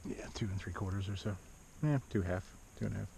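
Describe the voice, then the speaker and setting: A middle-aged man filming himself fishing from a kayak on a lake, swinging between excited exclamations and muttered asides. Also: fairly low pitch, fast talker, vocal fry. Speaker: fishing vlogger. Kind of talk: casual fishing commentary. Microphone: action camera mounted on the kayak. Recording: dull outdoor action-camera audio with wind and water noise.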